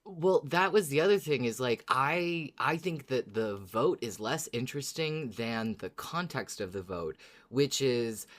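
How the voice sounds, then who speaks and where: A podcast co-host in casual, reflective chat, agreeable and hesitant, feeling out each thought in halting phrases. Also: medium pitch, animated, fairly bright tone. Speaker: podcast co-host discussing a fiction series.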